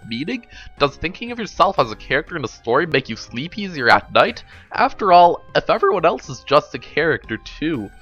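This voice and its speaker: Man playing sardonic, lecturing young woman, bright voice. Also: on the high side. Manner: wry, lecturing, profane.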